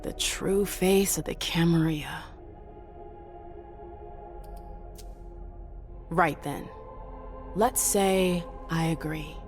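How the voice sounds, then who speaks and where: A defiant female vampire agent in a dramatic video game. Her voice is somewhat low, guarded and skeptical. Music runs faintly behind her. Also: fairly even intonation, takes it slowly, darkish tone. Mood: guarded, reluctant.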